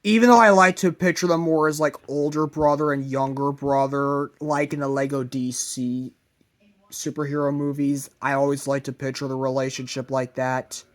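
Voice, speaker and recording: A man narrating a fan-made plot idea in a halting way, in short broken phrases. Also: medium pitch, fairly even intonation.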